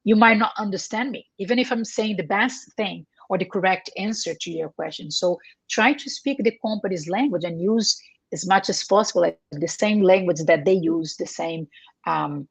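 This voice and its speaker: A woman's voice: medium pitch, moderate pace, vocal fry, husky, no room sound. A woman whose first language is Portuguese, a career coach giving earnest advice as an interview guest, often checking in with tag questions and correcting herself.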